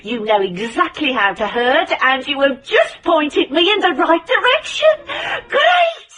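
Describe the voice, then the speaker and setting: A woman's voice: high-pitched, very animated, a little slow, a bit bright, heavily acted. An actress playing a touchy, bitter, sarcastic woman with an emphatic delivery in a radio drama. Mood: furious, vengeful. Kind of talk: theatrical radio drama acting.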